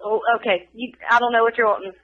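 A woman chatting casually on the phone, explaining things in a halting, chatty way. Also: fairly high pitch, steady tone, a crowd in the background. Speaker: private seller taking a phone call. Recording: dull, muffled phone line.

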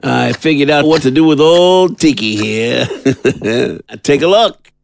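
A man's voice: medium pitch, expressive, slow pace, vocal fry. A man playing a sardonic, crude henchman with a sarcastic drawl and a cruel streak, in an audio drama. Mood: gloating.

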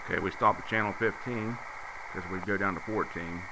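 A middle-aged man casually demonstrating his hobby in a plain, folksy, halting way while he works, recorded on a handheld camera indoors. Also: low-pitched. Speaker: amateur radio hobbyist. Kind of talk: casual hands-on demonstration talk. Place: indoors at a radio bench. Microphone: handheld camera's built-in mic. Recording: noisy camera audio with radio static. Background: radio receiver noise and static.

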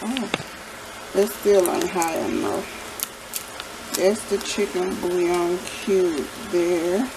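A woman's voice: fairly low pitch, slow, creaky, pauses often. A woman chatting casually while she cooks in her home kitchen, rambling as she works at the stove. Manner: relaxed, rambling, talks while cooking. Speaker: home cooking vlogger. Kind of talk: casual cook-along narration. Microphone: camera pointed at the pot, speaker off-frame. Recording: home camera with kitchen noise.

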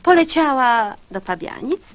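Female storyteller for children's verse: lively, slightly high, husky, deliberate. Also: slight background noise, low-quality recording. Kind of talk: expressive recital of children's verse.

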